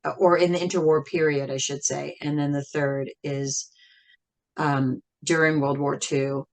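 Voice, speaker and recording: A woman talking reflectively as an interview guest, thoughtful and measured, with frequent pauses. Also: sits low, level tone. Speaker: interview guest, singer and writer.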